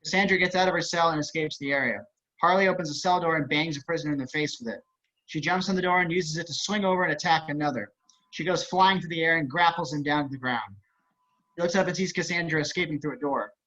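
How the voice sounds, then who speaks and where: A man's voice: on the high side, quick pace, sharp tone. A man narrating stage directions at an online table read, with wry, casual asides. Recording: dull video-call audio.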